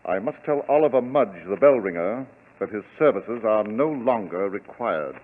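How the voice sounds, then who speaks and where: An actor playing a stern, haughty lord with an upper-class English accent and a husky voice, formal and imperious in 1940s radio style. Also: male voice, on the low side, animated, normal speed, over music, lightly acted. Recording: tinny vintage radio recording.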